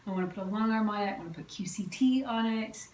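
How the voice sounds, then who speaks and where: Woman, slightly congested, average pitch, informal enthusiastic hobby host, casual solo chat, roomy sound, faint background noise. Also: quick pace, darkish tone. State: slightly stuffy from a cold. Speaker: podcast host, quilting teacher. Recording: roomy echo, distant mic, faint noise.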